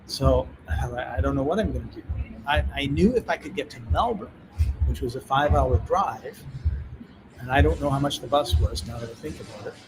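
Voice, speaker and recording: A man with a Canadian accent, a podcast host, telling a travel anecdote in lively conversation and acting out the people he quotes. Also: mid-range voice, a little fast, background noise. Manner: animated anecdotal telling, acts out quoted voices. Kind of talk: casual storytelling in conversation. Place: on a call from abroad. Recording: clear music playing behind.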